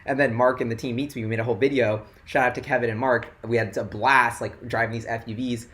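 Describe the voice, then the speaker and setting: A young man hosting a casual investing livestream, rambling and excitable with lots of "like", his voice going hoarse. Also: medium pitch, fast talker. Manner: rambling and excitable, heavy "like" filler.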